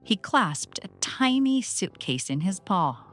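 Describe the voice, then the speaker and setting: A woman storyteller giving an expressive read-aloud narration for children. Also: medium pitch, takes it slowly. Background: soft music.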